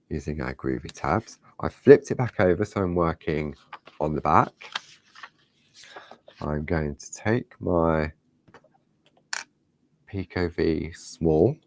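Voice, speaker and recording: A man with a slightly croaky voice, demonstrating a parchment craft step by step and chatting to himself as he works. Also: low voice, a little hiss or hum. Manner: chatty, talks to himself while working. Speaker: craft tutorial host.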